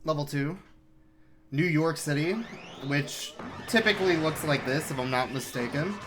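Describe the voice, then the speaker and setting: A man commentating his own video game speedrun in a relaxed, explanatory way, with sudden excited outbursts. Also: a little high, normal speed, background noise. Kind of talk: casual live-stream commentary. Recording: game music playing behind.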